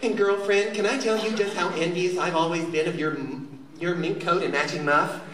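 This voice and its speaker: A man's voice: sits high, fairly even intonation, moderate pace, a bit bright. A man playing a prim, camp, timid houseboy sidekick in a live comic radio play, fussy and hesitant. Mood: camp, gushing. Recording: echoey convention hall, distant mic, audience noise.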